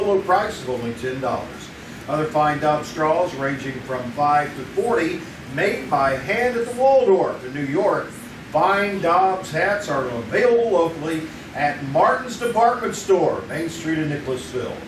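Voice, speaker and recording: A man as a vintage radio announcer. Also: on the high side. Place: small theater stage, live. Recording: echoey stage from far off, noisy.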